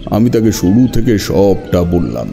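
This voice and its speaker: A man's voice: deep voice, big pitch swings, unhurried, gravelly, warm tone, a little hiss or hum. A man narrating a horror story dramatically in the first person.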